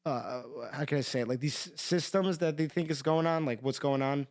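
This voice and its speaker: A man's voice: a little high, fairly quick, a little mellow. A tired young man co-hosting a podcast, chatting casually in a rambling way with lots of swearing and filler words. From Iran. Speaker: podcast co-host. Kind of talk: casual chat.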